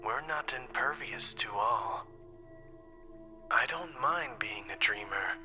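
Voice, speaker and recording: A man playing an isolated, reflective man recording a farewell message, speaking solemnly and deliberately with breathy phrase endings. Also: mid-range voice, lightly acted. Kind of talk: scripted dramatic monologue, recorded farewell message. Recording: voice over music.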